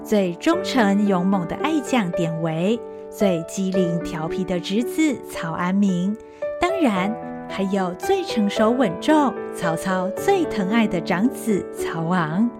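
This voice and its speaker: A woman narrating a story in a gentle, expressive way. Her pitch travels widely and warmly across phrases. Clear instrumental music plays under her voice. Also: slow. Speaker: narrator and host.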